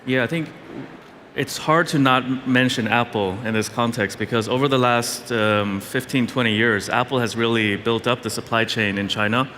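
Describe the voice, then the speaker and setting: Bright-voiced male founder in an echoey hall. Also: mid-range voice, fairly even intonation, normal speed. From Sweden. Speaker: tech founder, interview guest. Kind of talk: casual on-stage interview answers. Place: event stage in a large venue. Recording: echoey large hall, faint noise.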